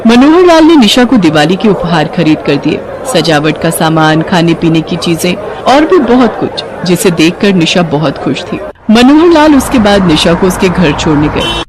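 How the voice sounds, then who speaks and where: A woman's voice: lowish voice, sing-song, normal speed, hardly any pauses, background noise. A woman storyteller reading a scripted children's moral tale.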